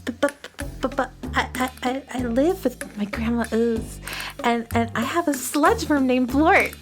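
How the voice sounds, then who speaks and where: A woman's voice: high-pitched, a little slow, over music. A woman voicing a shy, anxious young alien girl with a heavy stammer in a children's audio drama. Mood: nervous, shy. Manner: heavy stammer, hesitant, timid. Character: shy, anxious young girl sludge creature from gloomy planet.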